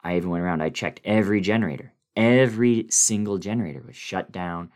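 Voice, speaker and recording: A man giving a dramatic, suspenseful first-person reading of a horror story, with theatrical pauses. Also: sits low, a little mellow. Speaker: guest reader of a horror story.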